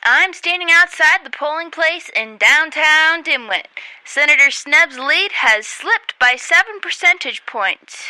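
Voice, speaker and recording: A woman's voice: high-pitched, expressive, unhurried, sharp tone, no room sound, heavily acted, low-quality recording. A woman playing an earnest, dramatic on-the-scene news reporter in a radio comedy. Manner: announcer-like, dramatic. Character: earnest female field news reporter at a polling place.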